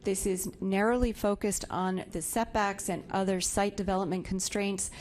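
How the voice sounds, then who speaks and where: A woman on county staff giving a measured, procedural presentation at a public zoning hearing. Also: mid-range voice, little pitch movement, darkish tone, a little hiss or hum.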